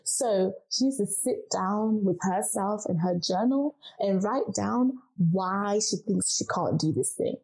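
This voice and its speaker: A woman's voice: medium pitch, dark tone, rough recording. A young woman from Zimbabwe, answering interview questions with earnest, encouraging advice. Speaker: guest speaker.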